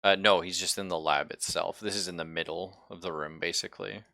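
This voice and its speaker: A man running a tabletop roleplaying game, improvising his descriptions haltingly as he thinks aloud. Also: deep voice, steady tone, vocal fry, sharp tone, dry recording. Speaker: game master.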